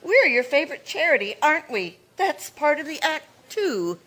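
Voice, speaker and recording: An actress playing a cold, scheming older sister in a live old-time radio drama, speaking with cutting sarcasm. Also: female voice, high voice, very animated, sharp tone, heavily acted. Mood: scornful. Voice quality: cutting, sarcastic, slow and deliberate.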